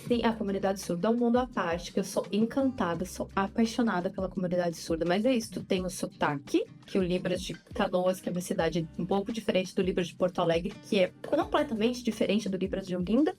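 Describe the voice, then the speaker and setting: A woman, a podcast guest talking animatedly and quickly, often repeating herself. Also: mid-range voice, faint music behind. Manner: animated, talks fast with many repeats. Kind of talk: casual interview conversation.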